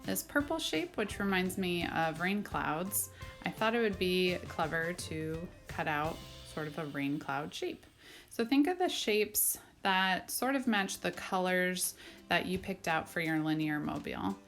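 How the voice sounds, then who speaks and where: A woman's voice: lowish voice, sing-song, unhurried, a bit bright. A woman art teacher narrating a children's craft tutorial, explaining simply and step by step. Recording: music behind the voice. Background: background music.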